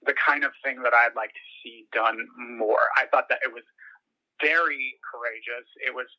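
A man's voice: mid-range voice, steady tone, moderate pace, pushed and bright. An American man answering interview questions as a podcast guest, earnest and feeling for his words as he talks. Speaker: podcast guest, journalist and political commentator. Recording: muffled lo-fi phone-like line.

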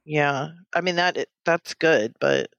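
Brief: teasing female co-host, animated pitch, dead-dry mic. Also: gravelly, no room sound, dull audio. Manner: teasing, quick to push back. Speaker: podcast co-host. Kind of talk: casual movie chat.

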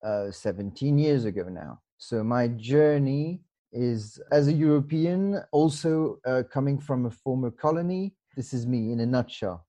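A man from France and Mauritius, a theatre director and actor, answering interview questions in a halting, searching way with many fillers. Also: mid-range voice, mellow.

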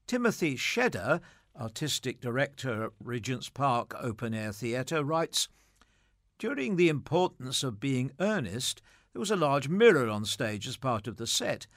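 A man's voice: mid-range voice, sing-song, unhurried, a bit bright, dry recording, studio quality. A man presenting scripted material and reading anecdotes aloud.

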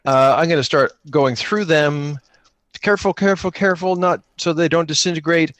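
A man's voice: on the high side, moderate pace, fairly bright tone, no echo. Man chatting through a tabletop game, dry sound. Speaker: podcast player improvising a solo tabletop game. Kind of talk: casual improvised tabletop game chat.

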